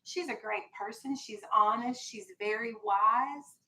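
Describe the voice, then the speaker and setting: A woman's voice: on the high side, unhurried, bright, forceful tone, rough recording. An American woman teaching a vocabulary lesson to young children in a classroom, instructing and prompting with questions and directions. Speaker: elementary school teacher.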